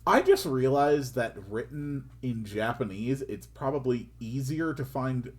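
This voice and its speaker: A man who speaks English natively, streaming himself reading a visual novel aloud and musing about it between passages. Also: lively intonation. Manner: slow, musing, with wide swings in pitch. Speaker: game streamer.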